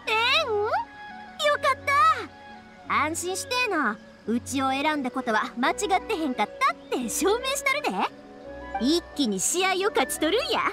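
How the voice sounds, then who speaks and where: A woman's voice: extremely high pitch, full character voice. An actress playing a cheerful, confident young woman in an anime game, bubbly and friendly, with a Kansai accent. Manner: bubbly, friendly, informal dialect.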